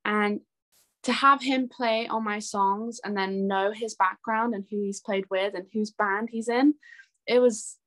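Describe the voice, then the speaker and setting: A young woman talks in a casual interview as a musician. Her voice sits at an average pitch, and she speaks in a chatty, enthusiastic way, giving relaxed, anecdotal answers. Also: measured pace. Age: young adult.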